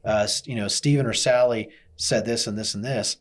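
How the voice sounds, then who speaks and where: A man's voice: on the low side, fairly even intonation, brisk. A man hosting a podcast, talking in a conversational, explanatory way about business. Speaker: podcast host.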